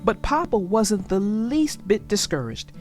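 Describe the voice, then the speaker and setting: Slow, expressive woman reading a storybook. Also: mid-range voice. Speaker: storybook narrator. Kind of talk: scripted children's read-aloud narration. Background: music.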